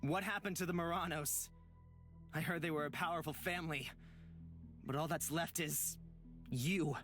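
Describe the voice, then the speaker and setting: Earnest young heroine. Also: female voice, low-pitched, fairly even intonation, fairly bright tone. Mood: wary, probing. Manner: earnest, dramatic, deliberate phrasing. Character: earnest young protagonist pleading with a possessed friend. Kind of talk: visual novel voice acting. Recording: music behind the voice.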